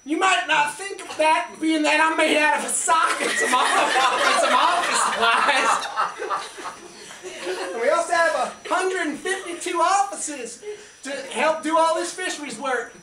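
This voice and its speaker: A woman's voice: high voice, measured pace. A woman voicing an irreverent, breezy fish sock puppet in a comic show, with a flippant, folksy manner. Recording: echoey room, distant mic, noisy.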